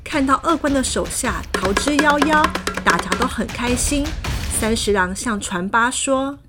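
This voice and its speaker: A woman narrating a children's fairy tale in a lively, expressive way. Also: a little high, a little slow. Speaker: storyteller. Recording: background music.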